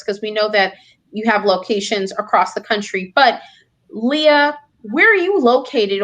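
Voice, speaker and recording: A woman's voice: fairly high pitch, a bit bright. A woman hosting a live online panel, upbeat and exclamatory, asking guests questions. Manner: upbeat, exclamatory, laughs often. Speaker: panel host, moderator. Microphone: video call.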